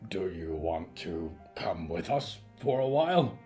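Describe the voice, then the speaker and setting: A man's voice: low-pitched, big pitch swings, a little slow, warm tone, faint music behind, pitched below the performer's own voice. A man voicing a friendly, timid clawed creature, eager for company, in a scripted audio drama. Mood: hopeful.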